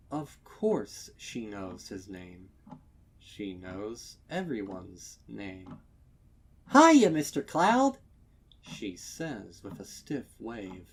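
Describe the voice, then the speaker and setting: Slow, low-voiced male story narrator. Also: darkish tone, slightly rough. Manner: measured, deliberate phrasing. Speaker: fan fiction narrator. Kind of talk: dramatic scripted story narration.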